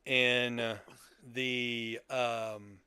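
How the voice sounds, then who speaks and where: A man's voice: mid-range voice, level tone, extremely slow, pushed and bright, smooth, no echo. An older man talking casually as a podcast co-host. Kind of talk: casual chat about sci-fi and old radio heroes.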